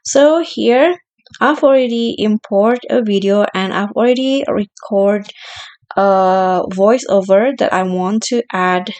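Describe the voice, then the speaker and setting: A woman narrating a software tutorial as its presenter, explaining simply step by step in a somewhat halting way. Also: mid-range voice, clear, resonant tone.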